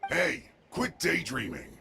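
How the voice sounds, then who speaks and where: An actor playing a bossy, irritable guard in a video game, drawling and easily baffled. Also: male voice, mid-range voice, wildly swooping pitch, slow, creaky, bright, forceful tone, a little hiss or hum, full character voice. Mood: irritated. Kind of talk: video game character dialogue. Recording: music behind the voice.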